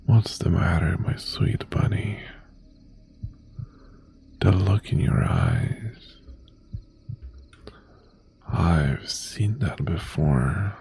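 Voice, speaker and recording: A man playing an obsessive, possessive mafia boss ex-boyfriend in an intimate ASMR roleplay, half-whispering in a breathy, gravelly voice with teasing menace and long pauses. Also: deep voice, mellow, slight background noise, full character voice. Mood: teasing, smug.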